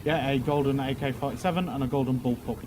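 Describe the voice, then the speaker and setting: Halting man testifying over noisy voice chat. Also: mid-range voice, fairly even intonation. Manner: halting, repeats words, many fillers. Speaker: police officer witness. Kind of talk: roleplay courtroom testimony.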